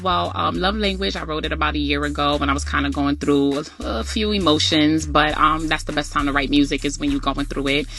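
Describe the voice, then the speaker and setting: A woman's voice: low-pitched, fairly even intonation, brisk, few pauses, fairly bright tone. A young woman from the Bronx, a singer answering interview questions in an upbeat way. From Bronx, New York. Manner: upbeat, laughing easily. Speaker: singer, guest. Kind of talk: radio interview. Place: radio studio.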